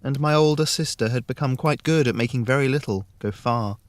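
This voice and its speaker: A man narrating a scripted fairy tale in the first person, measured and with dramatic pauses. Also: medium pitch, dry recording. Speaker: story narrator.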